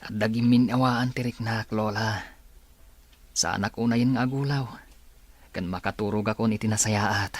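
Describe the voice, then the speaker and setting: A man playing a sick young grandson in a radio drama, tired and quietly complaining. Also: lowish voice, fairly even intonation, moderate pace, long pauses, no echo, close to an everyday voice. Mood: weary.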